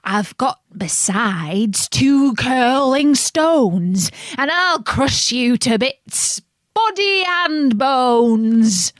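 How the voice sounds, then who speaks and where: A woman voicing a huge, fierce billy goat with an ugly hoarse voice, boasting in slow threats. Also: sits high, animated, dry recording, voice pushed up, exaggerated voice. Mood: defiant.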